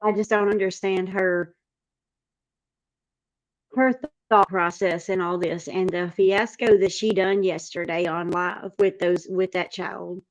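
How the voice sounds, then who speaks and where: A woman's voice: fairly low pitch, steady tone, unhurried, low-quality recording. A woman chatting casually as a panel host, reading out and responding to viewer comments.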